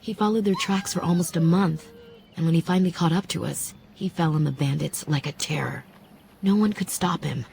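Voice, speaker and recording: A woman playing a wise, composed elf apprentice in a fantasy video game, speaking in a calm, reflective, formal way. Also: lowish voice, fairly quick, gravelly, a little hiss or hum. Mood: sombre, reflective. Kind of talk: fantasy video game acting, measured storytelling.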